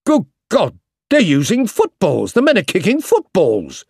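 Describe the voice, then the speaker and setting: A British man voicing hearty, excitable soldiers of the trenches, calling out in an audiobook story. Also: high-pitched, a little slow, slightly rough, dry recording, studio quality, pitched above the performer's own voice, heavily acted. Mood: astonished. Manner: calling out, exclamatory. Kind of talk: audiobook character reading.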